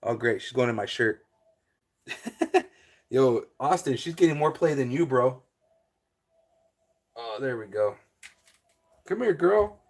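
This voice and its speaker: A man's voice: medium pitch, lively intonation, takes it slowly, pauses often. A man, hosting a casual live stream and answering his viewers' chat in a laid-back way, with lots of fillers.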